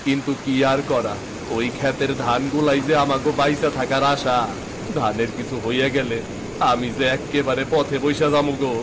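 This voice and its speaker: A man voicing a poor, warm-hearted farmer father in a cartoon, speaking earnest, fretful village talk. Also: mid-range voice, fairly even intonation, normal speed, background noise, lightly acted. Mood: worried. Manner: earnest, fretful rural speech. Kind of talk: cartoon voice acting. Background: background music.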